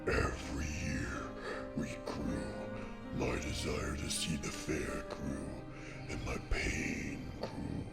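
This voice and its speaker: A man's voice: rumbling low, level tone, slow, gravelly, exaggerated voice. A man voicing a brooding young male unicorn who tells his own story in anguished, dramatic fashion for a fan comic dub. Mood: wistful, pained. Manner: dramatic, heavy, confessional delivery. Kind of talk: scripted first-person narration, fan comic dub. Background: loud music.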